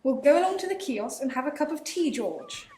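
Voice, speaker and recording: A woman's voice: sits high, huge pitch swings, a little fast, dark tone, echoey room, slight background noise. A teenage girl playing an insistent wife chivvying her husband. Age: teens.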